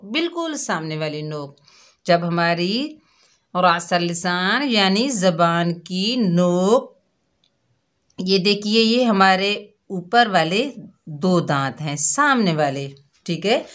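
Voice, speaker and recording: A woman with a Pakistani Urdu accent teaches children in an unhurried classroom manner. She repeats herself patiently and draws out the sounds she demonstrates, at a steady middle pitch. Her delivery stays slow and deliberate throughout. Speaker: Quran recitation teacher.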